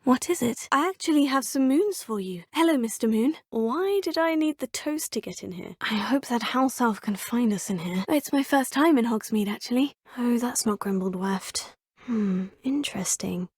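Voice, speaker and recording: A polite student witch in a video game, with proper, composed phrasing. Her voice sits a touch high with a breathy edge. The recording is dry and close. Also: lively intonation, moderate pace, no room sound. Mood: poised. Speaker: player character.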